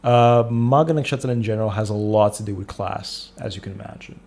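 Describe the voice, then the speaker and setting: A man hosting a casual live astrology Q&A, answering viewer questions in a calm, soothing way and thinking aloud. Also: fairly low pitch, fairly quick, gravelly.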